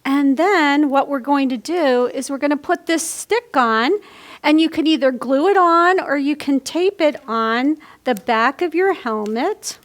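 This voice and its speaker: A woman with a high voice hosts a storytime for young children. She speaks at an unhurried, slow pace and explains things step by step in simple words. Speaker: library storytime host. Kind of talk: children's storytime reading and craft instruction.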